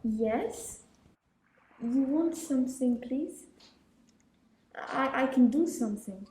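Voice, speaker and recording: Teen girl voicing a polite french young woman, slow and mellow, echoey room. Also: high-pitched. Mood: puzzled. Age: teens. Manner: slow, careful broken English. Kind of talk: school radio play.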